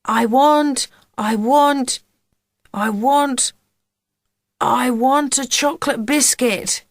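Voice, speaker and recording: A woman's voice: sits high, drawn-out words, no echo, studio quality, voice pushed up, exaggerated voice. A woman voicing a greedy boy in a trance in a children's audiobook, with a strange, lifeless drone. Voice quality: lifeless, droning.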